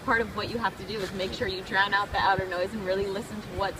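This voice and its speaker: A woman's voice: mid-range voice, steady tone, quick pace, distant mic. A woman hosting a casual interview, speaking in an earnest, motivational way. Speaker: interviewer, host. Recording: outdoors with loud crowd and traffic.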